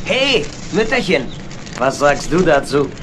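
Greedy simple son: high, eager, unhurried, very noisy old recording. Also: male voice, big pitch swings. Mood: gleeful. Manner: eager, gloating. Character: gleeful, greedy grown son, simple and devoted. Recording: very noisy old record, animal sounds behind.

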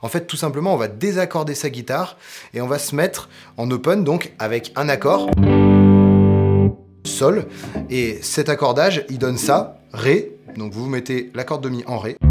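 Male guitar teacher, guitar behind. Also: mid-range voice. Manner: explanatory, step by step. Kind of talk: instructional talk to camera. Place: indoor guitar studio with amps. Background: electric guitar playing.

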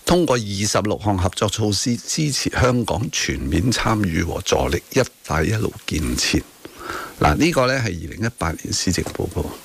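A man's voice: lowish voice, big pitch swings, moderate pace, vocal fry, husky. A man with a Hong Kong Cantonese accent hosting a radio talk show, giving sardonic political commentary in a didactic, corrective way. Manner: didactic, corrective, sardonic, often ending on tag questions. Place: radio studio.

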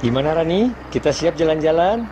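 A woman's voice: deep voice, animated, a little fast, dark tone, dull audio, slight background noise, voice pushed down. A woman voicing a kind father in a children's cartoon, who speaks in short, friendly replies. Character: kind male father, easygoing.

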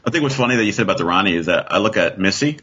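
A man's voice: on the low side, animated, very fast, sharp tone, breathy edge. A man chatting casually as a podcast guest, rambling and thinking aloud as he goes. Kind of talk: casual chat. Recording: dull, muffled lo-fi sound.

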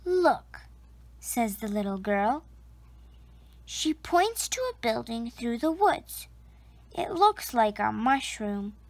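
A woman with a high, very animated voice reads a children's story. She goes very slowly, phrase by phrase, with dramatic pauses. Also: no room sound. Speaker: storybook reader. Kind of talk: expressive children's story read-aloud.

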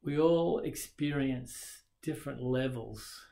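An Australian man in his sixties delivers a sermon to a camera at desk distance, in a small dim room. He speaks slowly and earnestly at an ordinary pitch, with a reflective, pastoral warmth. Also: gravelly. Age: 60s. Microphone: webcam facing him at desk distance.

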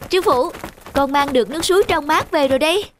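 A woman's voice: high-pitched, fast talker, slight background noise, close to an everyday voice. A woman voicing an earnest, respectful young boy disciple in a cartoon, polite and eager.

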